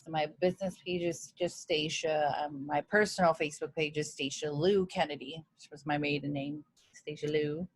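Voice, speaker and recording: A woman's voice: deep voice, fairly even intonation, normal speed, breathy edge, dry recording. A woman from Hawaii, a guest on a video call interview. She speaks in an earnest, reflective way. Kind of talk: casual interview chat.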